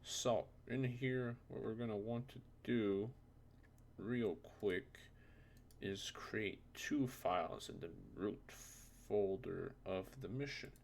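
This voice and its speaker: Low, gravelly non-native English-speaking man. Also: takes it slowly, darkish tone, a little hiss or hum. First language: not English. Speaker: tutorial presenter. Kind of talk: casual unscripted software tutorial.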